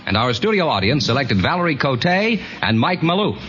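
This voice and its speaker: Animated male announcer on muffled old radio. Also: medium pitch, moderate pace. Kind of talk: 1940s radio show announcing. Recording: muffled, faintly noisy 1940s radio recording.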